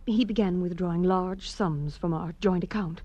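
A woman's voice: fairly low pitch, fairly even intonation, moderate pace, dark tone, no echo, muffled recording, close to an everyday voice. A woman playing a refined, troubled young wife with a polite, hesitant manner in a 1940s radio drama. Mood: troubled, earnest. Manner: polite, hesitant, earnest, genteel. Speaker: client in a radio detective drama.

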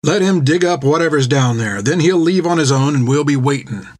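A man voicing a calm, practical young swordsman in a level, matter-of-fact tone. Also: fairly high pitch, a little fast, voice pushed up, close to an everyday voice.

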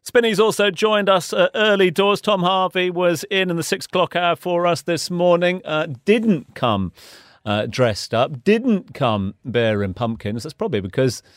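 A man's voice: high voice, lively intonation, measured pace, no echo, clean studio sound. A man hosting a business news podcast, introducing items in a conversational radio style. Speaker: podcast host.

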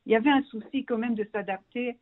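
A woman's voice: on the high side, fast talker. A middle-aged woman from Belgium being interviewed as a guest, searching for words and restarting her phrases. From Brussels, Belgium. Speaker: magazine founder, interview guest. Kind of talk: radio interview by phone. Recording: thin, narrow phone line.

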